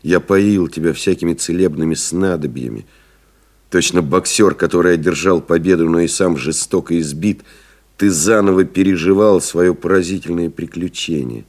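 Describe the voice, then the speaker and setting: An actor playing a pilot's devoted friend, solemnly retelling the ordeal in measured, rhetorical literary radio play narration. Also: male voice, deep voice, darkish tone. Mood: tender, grave. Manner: grave, measured, rhetorical, building in intensity.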